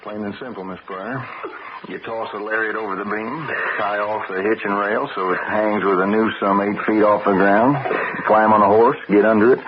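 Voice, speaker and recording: An actor playing a watchful, folksy ranch stable hand with an American Western accent and a hesitant drawl, in old-time radio drama style. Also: male voice, fairly low pitch, steady tone, normal speed, a crowd in the background, natural voice. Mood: probing, grim. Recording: noisy, dull old radio broadcast.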